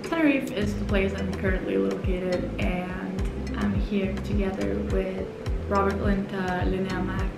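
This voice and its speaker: A woman's voice: mid-range voice, fairly even intonation, measured pace, vocal fry, hardly any pauses. A young woman in her twenties with a Norwegian accent, chatting casually and self-mockingly to camera on a hotel balcony. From Norway. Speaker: swimmer vlogger. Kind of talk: casual vlog chat. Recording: roomy with loud music behind.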